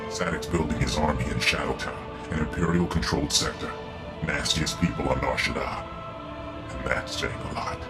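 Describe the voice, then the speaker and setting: Very deep, grim cyborg captive. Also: male voice, moderate pace, loud music behind the voice. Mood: grim, weary. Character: tormented cyborg agent turned against his will, grim, fatalistic. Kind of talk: video game voice acting.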